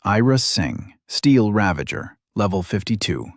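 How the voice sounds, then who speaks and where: A man narrating a fantasy novel as an audiobook, in a steady, even storytelling manner. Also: on the low side, unhurried, dry recording, studio quality.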